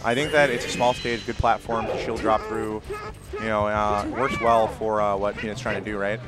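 A man with a naturally loud voice commentating a live fighting-game tournament, exclaiming over big plays. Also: lowish voice, fairly even intonation, moderate pace, loud music behind the voice. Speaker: esports commentator. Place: tournament venue.

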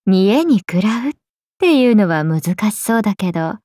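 A woman's voice: very animated, moderate pace, warm tone, dry recording, clean studio sound, close to an everyday voice. A woman voicing a playful, teasing serpent-oni goddess for a mobile game, with breezy big-sister airs and a drawling, sake-loving manner. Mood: predatory, teasing. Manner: breezy, drawling, teasing, slipping into low murmurs. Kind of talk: Japanese mobile game character voice lines.

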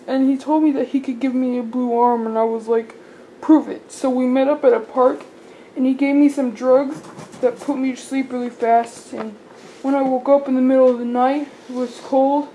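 A woman's voice: sits high, steady tone, normal speed, warm tone, slight background noise, natural voice, low-quality recording. A young woman voicing a nervous, shy male doll in his twenties, talking in an anxious, plaintive way.

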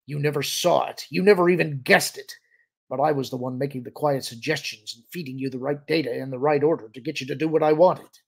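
Fanatical, vengeful military major, acted by a male narrator. Agitated, sneering, insistent, gravel in the throat, forceful and loud. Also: a little high, fairly quick. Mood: agitated, shouting.

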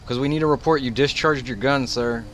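A man's voice: medium pitch, fairly even intonation, fairly quick, a bit bright, close to an everyday voice. A man playing a matter-of-fact sheriff's officer in an online role-play, firm and procedural. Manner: firm, procedural, plain-spoken. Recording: gaming voice chat with background noise.

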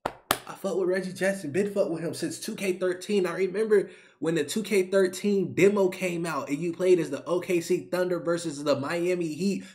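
Young man ranting excitedly to camera. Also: a little high. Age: 20s. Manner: animated, slangy, repeats himself. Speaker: YouTube sports reaction creator. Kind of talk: excited casual rant to camera. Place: small bedroom, indoors.

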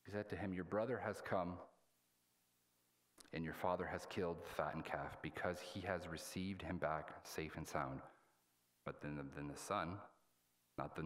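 A man in his thirties preaching a sermon as a pastor, in a halting, plain-spoken, colloquial way. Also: rumbling low. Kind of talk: sermon with scripture reading. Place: indoors at a church pulpit.

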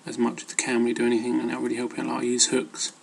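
A man's voice: medium pitch, monotone, racing, vocal fry, slight background noise. A man giving casual, rambling advice as an amateur fitness vlogger, hesitant and loosely worded.

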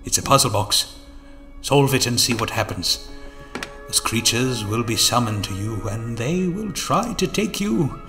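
An actor playing a sly captive tempter in an audio drama, measured, insinuating and ominous. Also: male voice, medium pitch, expressive, vocal fry, bright, forceful tone, faint music behind, exaggerated voice. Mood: sly, calm.

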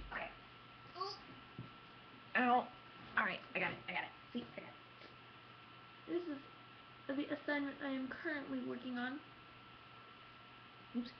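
A young adult woman chatting casually to camera as a gaming vlogger, with an animated, self-deprecating manner and dramatic emphasis. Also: medium pitch. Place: small room at home, indoors. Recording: muffled lo-fi webcam audio, background noise.

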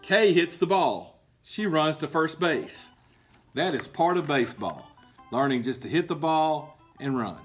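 Middle-aged coach, husky, lively reading. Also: male voice, fairly high pitch, a little slow, soft background music. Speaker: baseball coach and PE teacher. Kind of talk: children's picture-book read-aloud with explanations. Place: indoor school room with book shelves.

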